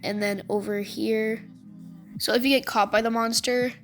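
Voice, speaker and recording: High-voiced woman doing casual game commentary. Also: flat delivery, normal speed. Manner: informal gamer slang. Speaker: video creator and game level maker. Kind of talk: casual gameplay commentary and walkthrough. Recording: music under the voice. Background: music.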